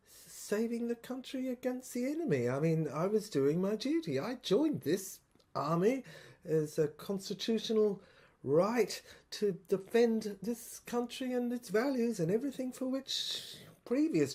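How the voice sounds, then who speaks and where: A woman playing a troubled infantry soldier in an audio drama monologue, speaking in halting, deliberate phrases with a husky voice. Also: medium pitch, warm tone. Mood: defensive, reflective. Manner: halting, broken phrases with deliberate emphasis and repetition. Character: troubled infantry soldier piecing together a secret government document.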